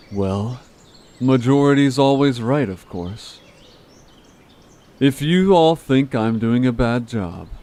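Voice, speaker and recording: A man's voice: mid-range voice, darkish tone. A man playing a sarcastic, self-righteous creature gang leader in an audio drama, speaking with condescending false humility. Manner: measured, feigning hurt, condescending.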